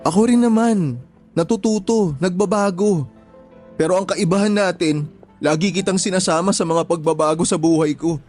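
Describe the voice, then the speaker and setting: A man's voice: high voice, moderate pace, a little mellow, soft background music, lightly acted. A man acting a desperate jilted boyfriend in a radio drama, pleading and insistent. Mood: wounded, pleading. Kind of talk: emotional radio drama dialogue.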